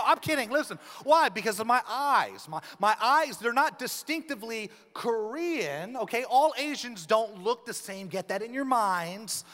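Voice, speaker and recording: A man preaching a sermon as pastor, joking and acting out stories with quoted voices. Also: high-pitched. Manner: lively, joking storyteller, acts out quoted voices. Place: church sanctuary. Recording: long reverb of a church hall.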